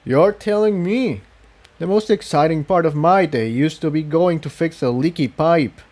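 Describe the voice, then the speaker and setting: A man voicing a steadfast, weary male unicorn pony in a storybook adventure, speaking in a calm, earnest, reassuring way. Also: fairly high pitch, moderate pace, pitched above the performer's own voice, natural voice. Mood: wry.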